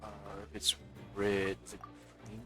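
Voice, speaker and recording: A man's voice: on the low side, completely flat delivery, a little slow. A man giving casual let's play commentary as a game streamer, blunt and sardonic, sometimes reading game text aloud. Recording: game music behind voice.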